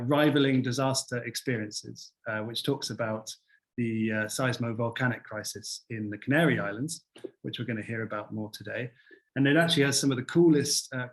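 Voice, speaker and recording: A young man hosting an online academic seminar over a video call, relaxed and full of fillers. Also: mid-range voice. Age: young adult. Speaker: seminar host.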